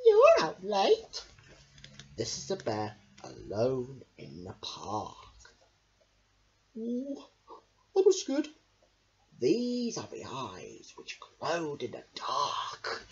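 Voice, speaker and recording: A young adult reading children's picture books aloud in an emphatic, deliberate way. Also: mid-range voice, a bit bright. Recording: dull lo-fi camera sound.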